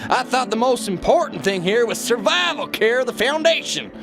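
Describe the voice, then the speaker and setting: A man's voice: extremely high pitch, big pitch swings, moderate pace, music in the background. A man acting a folksy, blustering, dim-witted boss in a satirical radio drama. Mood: folksy, complacent.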